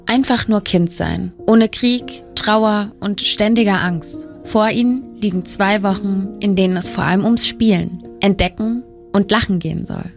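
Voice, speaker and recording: A woman narrates a news feature in a calm, measured voice at a middle pitch. Her scripted delivery is steady and even. Soft guitar music plays clearly underneath her. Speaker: news reporter.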